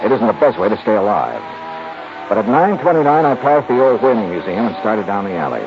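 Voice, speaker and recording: An American actor playing a tough, wry insurance investigator in a 1950s radio detective drama, clipped and hard-boiled. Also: male voice, on the low side, big pitch swings, fairly quick. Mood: dry, wry. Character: tough, wry male insurance investigator narrating his case. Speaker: radio actor in the lead role. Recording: muffled 1950s radio recording with music.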